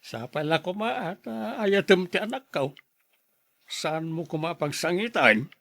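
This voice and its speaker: A man's voice: high-pitched, expressive, measured pace, vocal fry, a bit bright, no room sound, clean studio sound, exaggerated voice. A man acting a protective middle-aged father who advises his daughter earnestly in an Ilocano radio drama. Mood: welcoming.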